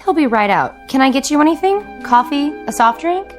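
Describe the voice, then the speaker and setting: An actress playing a brisk, polite young receptionist in a radio drama. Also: female voice, high-pitched, big pitch swings, faint music behind. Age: young adult.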